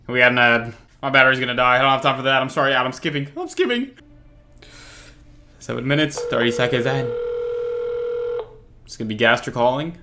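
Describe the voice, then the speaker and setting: A man's voice: medium pitch, moderate pace, long pauses, noisy background. A man hosting a reaction video, giving casual, slangy, wisecracking commentary. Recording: music from the watched video behind. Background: music and dialogue of watched video.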